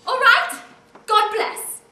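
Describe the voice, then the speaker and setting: An actress playing a fussing, apologetic mother leaving a hurried phone message. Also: very high voice, pushed and bright, echoey room, full character voice. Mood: fretful.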